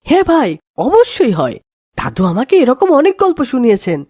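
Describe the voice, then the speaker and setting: A woman voicing a timid, excitable young village boy in a children's cartoon, full of anxious, wide-eyed questions. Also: sits high, moderate pace, breathy edge, dry recording, clean studio sound, full character voice. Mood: excited, curious.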